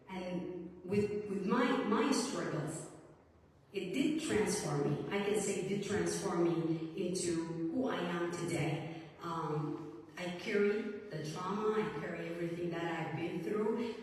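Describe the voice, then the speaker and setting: Woman, from Sudan, earnest, halting speech, many pauses, distant mic, booming hall reverb. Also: darkish tone, a little hiss or hum. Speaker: author and public speaker. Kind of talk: speech to a live audience. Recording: echoey hall from far off.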